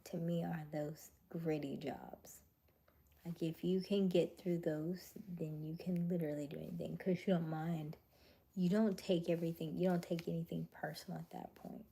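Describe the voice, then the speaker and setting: A young adult woman hosting a casual livestream, chatting with viewers in a chatty way with frequent laughs and soft, whispery stretches. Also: fairly low pitch, gravelly. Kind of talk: casual live chat reading viewer comments.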